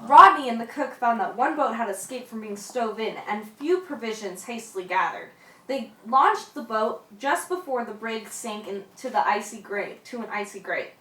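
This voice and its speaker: In a roomy echo, a little off the mic, a woman plays an old sea storyteller in a radio drama. The voice is fairly high and tells the yarn dramatically. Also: animated, moderate pace, pushed and bright, distant mic. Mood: grave, dramatic. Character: old seafarer spinning yarns to young lads. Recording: echoey room, off mic.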